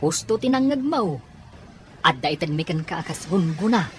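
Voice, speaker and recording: Lady doing a nosy guy hunting for someone, low but swoopy, noisy background, drama. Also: lively intonation, moderate pace, lightly acted. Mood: probing. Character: probing man searching for someone, offering money. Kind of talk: amateur audio drama acting. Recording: noisy, with ambient background sound.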